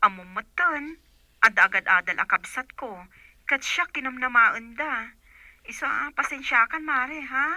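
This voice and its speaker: Apologetic neighbour, radio drama, very bright piercing tone, slow delivery. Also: female voice, high-pitched, no room sound, exaggerated voice. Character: apologetic neighbour woman, hard up herself.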